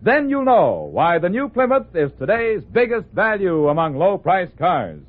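A man's voice: sits high, big pitch swings, unhurried, narrow, tinny audio. A man with an American accent reading a 1950s radio car advert with punchy, enthusiastic salesmanship. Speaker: commercial announcer. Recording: thin, band-limited vintage radio.

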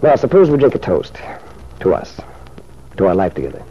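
A man's voice: deep voice, very animated, brisk, dark tone, breathy edge, full character voice. An actor playing an ardent, reassuring lover of a married woman in an old radio soap opera, suave and earnest. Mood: conciliatory. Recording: muffled old radio recording.

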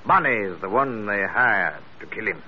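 An actor playing a wily, pompous investigator with florid, deliberate speech in a vintage radio drama. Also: male voice, on the low side, lively intonation, close to an everyday voice. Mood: courtly, wry. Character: wily, pompous British investigator posing as a smooth blackmailer. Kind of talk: 1940s-50s radio drama acting. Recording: muffled, noisy vintage radio recording.

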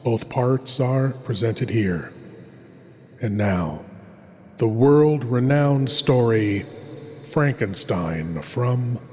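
A man playing a courtly, sinister horror host, introducing scary tales in a theatrical, hushed manner with dramatic pauses. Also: medium pitch, expressive, slight background noise. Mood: sinister, welcoming. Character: sinister, courtly male horror host savoring terror and darkness. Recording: cavernous reverberant hall effect.

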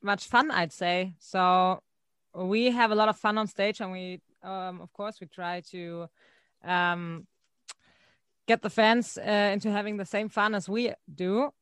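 A woman's voice: medium pitch, fairly even intonation, measured pace, a bit bright, clear, resonant tone, no echo. A woman singer answering interview questions, hesitant and searching for words. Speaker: band singer.

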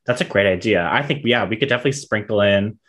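A woman's voice: rumbling low, fast talker. Twenties woman co-host, loose rambling chat, giggles. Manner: rambling with many "like" fillers and giggles. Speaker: podcast co-host. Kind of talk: casual chat.

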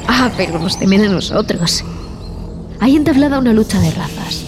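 A woman playing a traumatized expedition survivor in a horror audio drama, telling her story in a haunted, halting, dramatic way. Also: mid-range voice, close to an everyday voice. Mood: haunted, horrified. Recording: music bed behind the voice.

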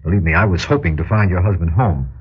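An actor playing an earnest, insistent private detective in an old-time radio drama, speaking in a husky, urgent, persuasive way with formal address. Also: male voice, deep voice, lively intonation, fast, full character voice. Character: earnest, insistent male private detective warning his client. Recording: dull, lo-fi vintage radio recording.

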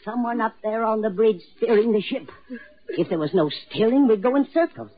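An actor playing a guilt-ridden, bullying murderer cracking with fear, in melodramatic 1940s radio horror style. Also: male voice, high-pitched, fairly quick, lightly acted. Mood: anxiously insistent. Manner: melodramatic, desperate, swinging from menace to hysteria. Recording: tinny, band-limited 1940s radio recording.

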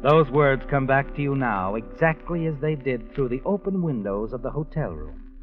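An actor playing a sinister, all-knowing narrator in a 1940s radio mystery, ominous and insinuating in manner. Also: male voice, mid-range voice, sing-song, normal speed, close to an everyday voice. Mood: insinuating, suspenseful. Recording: dull old radio recording with music.

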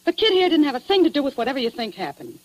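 Mouthy slangy american dame in a 40s radio drama, highish voice, swoops up and down. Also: female voice, very animated, rapid-fire, darkish tone, low-quality recording. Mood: brash, frank. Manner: slangy, pushy. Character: brash, wisecracking dame defending her friend. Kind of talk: 1940s radio acting.

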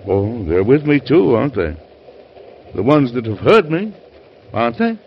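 A weary but idealistic American President in a vintage radio drama. His delivery is oratorical and sweeps widely in pitch, with weighty pauses. The recording is dull and carries some hiss. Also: big pitch swings. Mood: weary, resolute. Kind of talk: old-time radio drama acting.

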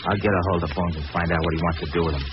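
An actor playing a tough, businesslike gangster sidekick in a 1940s radio drama, talking tersely. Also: male voice, deep voice, fairly even intonation, racing, close to an everyday voice. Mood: matter-of-fact, threatening. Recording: noisy, muffled old radio recording.

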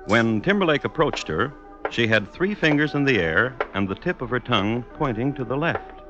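An actor playing a wry American storyteller, narrating an old-time radio western with deadpan formality. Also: male voice, mid-range voice, normal speed, lo-fi sound, over music, close to an everyday voice. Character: wry male storyteller of Old West tales.

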